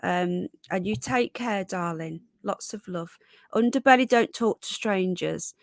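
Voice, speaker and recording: A middle-aged British woman with a croaky, congested voice from a cold, hosting a casual live stream where she reads news aloud and chats to viewers. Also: medium pitch, measured pace, no room sound. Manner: chatty, reads chat comments aloud and greets viewers.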